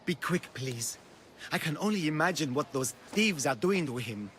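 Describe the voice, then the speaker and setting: A man's voice: a little high, expressive, moderate pace, fairly bright tone, slight background noise. An actor playing an anxious, sentimental male oil merchant in a video game, with a pleading, effusive delivery. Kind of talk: dramatic video game dialogue.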